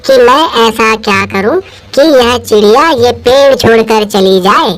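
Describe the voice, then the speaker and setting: A woman's voice: a little high, measured pace, lightly acted. A woman voicing a greedy, scheming male crow with a coaxing manner in a children's cartoon. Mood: plotting. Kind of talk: Hindi children's cartoon voice acting. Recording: music behind the voice.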